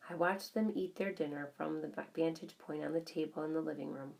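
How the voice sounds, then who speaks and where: A woman's voice: sits low, steady tone, fairly quick, vocal fry, darkish tone. A woman reading a children's story aloud as scripted narration. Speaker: audiobook reader.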